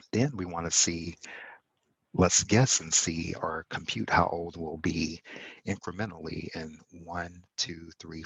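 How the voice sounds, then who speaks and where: A man explaining code step by step in a tutorial, pausing often and correcting himself as he goes. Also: deep voice, gravelly, a bit bright, slightly rough, no echo, rough recording. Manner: halting, self-correcting explanation with fillers. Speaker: instructor. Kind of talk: screen-recorded coding tutorial.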